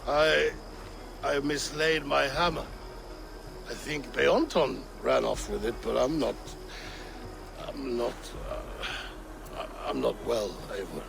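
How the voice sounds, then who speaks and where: Proud, ailing Viking king for a video game, brooding and halting, gravelly, very unhurried. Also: mid-range voice. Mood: weary, confused. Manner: slow, halting, solemn storytelling delivery. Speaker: video game character actor. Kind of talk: dramatic game cutscene acting. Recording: game ambience noise behind the voice.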